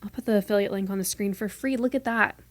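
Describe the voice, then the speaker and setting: A woman's voice: medium pitch, no echo. A woman in her twenties from North Carolina, hosting a casual solo podcast from her room, chatty and playful, with a mostly neutral American accent that slips into a light Southern lilt. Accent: mostly neutral American, with a light Southern lilt at times. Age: 20s. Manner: chatty, fast-talking and playful. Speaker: podcast host.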